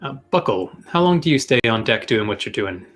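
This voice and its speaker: A man with a low-pitched voice runs a tabletop game as game master. He describes scenes in detail, feeling his way through the wording, with music playing behind him. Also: very animated, a little fast, a bit bright. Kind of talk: improvised tabletop game narration.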